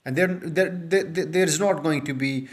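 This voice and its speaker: A man's voice: a little high, moderate pace. Urdu-speaking man coaching on a phone. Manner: earnest, repetitive, with many fillers and restarts. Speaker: IELTS speaking tutor. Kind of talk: unscripted tutorial monologue to camera. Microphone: phone held close, front camera.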